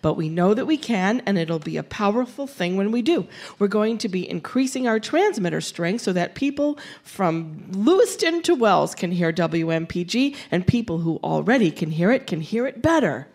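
A woman from a community radio station giving a fundraising pitch live on stage. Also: sing-song, moderate pace, darkish tone.

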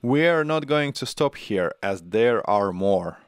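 Animated man narrating, dry close sound. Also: lowish voice, a little slow, smooth, no echo. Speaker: tech channel host. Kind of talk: scripted narration, product review. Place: small home studio room, indoors. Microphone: close broadcast microphone on arm.

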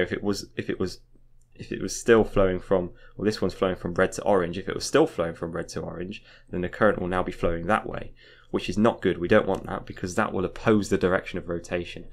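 A man teaching in an informal explainer style, going step by step, repeating points and often checking in with a short tag. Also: low voice. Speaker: physics teacher. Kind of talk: informal explainer lesson, talking through a diagram.

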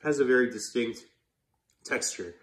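A man's voice: medium pitch, normal speed. A man with a cold, congested, casually chatting and rambling through a home cooking vlog in his kitchen. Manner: chatty, rambling, self-correcting. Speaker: food vlogger.

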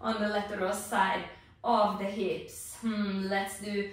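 A woman in her forties guides exercises in a steady, unhurried voice, pausing on fillers. Her voice bounces around a very echoey home studio and sounds far from the camera. Her pitch sits in a plain middle range. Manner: calm, unhurried cueing with many fillers. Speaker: pilates instructor.

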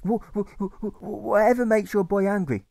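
Stammering boy, voiced by a man: timid, earnest, pleading, raised pitch, storybook character. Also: steady tone, normal speed, gravelly, dark tone, no echo, clean studio sound. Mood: horrified pleading.

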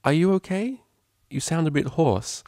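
A man's voice: fairly high pitch, very animated, normal speed, warm tone, dry recording, clean studio sound, pitched above the performer's own voice. A man voicing a refined, caring female cellist pony in a storybook, with prim and formal wording. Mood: sleepy, concerned.

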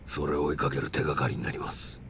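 A man's voice: rumbling low, level tone, fast, a bit bright, slight background noise. A man playing a calculating, menacing yakuza in a video game, with a Kansai accent and polite speech that carries blunt threats. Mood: challenging. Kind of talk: dramatic video game cutscene acting.

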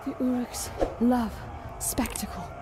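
A woman's voice: fairly high pitch, steady tone, slow pace, a crowd in the background, background noise. An actress playing a determined young female fighter in a fantasy game, urgent and earnest. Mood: urgent resolve. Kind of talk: fantasy video game acting. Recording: voice under loud game music.

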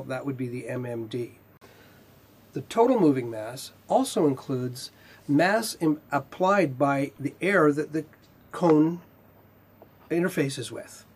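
Slightly husky middle-aged man explaining, higher-pitched. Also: a little mellow. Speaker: instructor, R&D manager. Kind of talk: technical lecture to camera. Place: indoor video studio with whiteboard.

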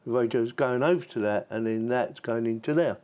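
A man with a mid-pitched voice presents a hands-on tech review. He talks in an informal, chatty way, as if thinking aloud while he shows how things work. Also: fairly quick, a little mellow, smooth. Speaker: tech reviewer and channel host. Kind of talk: casual product review and demonstration.

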